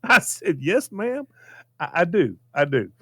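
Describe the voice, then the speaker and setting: A man's voice: fairly high pitch, sing-song, a little slow, bright, forceful tone, slightly rough, dry recording, clean studio sound. A man with a Southern American accent telling an anecdote casually in a folksy, repetitive, emphatic way. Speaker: storytelling show regular.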